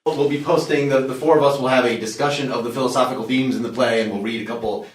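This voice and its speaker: A man's voice: medium pitch, steady tone, quick pace. Male host, distant in an echoey room. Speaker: host and discussion moderator. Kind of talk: casual group discussion. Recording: echoey room, far from mic.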